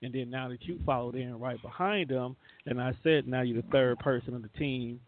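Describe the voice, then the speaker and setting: Guy hosting a radio show, just talking casually, thin phone-like sound, middle pitch. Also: fairly even intonation, fairly quick, slight background noise. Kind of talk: casual group chat. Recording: narrow tinny phone-like sound.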